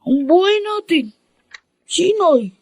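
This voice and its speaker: A woman's voice: very high-pitched, big pitch swings, slow pace, dry recording, studio quality, heavily acted. A woman acting an earnest, worried teenage son from a poor family in a radio drama. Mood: troubled, hesitant.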